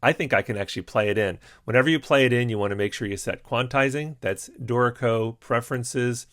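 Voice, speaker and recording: A male music teacher walking through a software tutorial in calm, step-by-step instructions, with frequent "uh" and "um" fillers. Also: mid-range voice, dry recording, studio quality. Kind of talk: screen-recorded software tutorial.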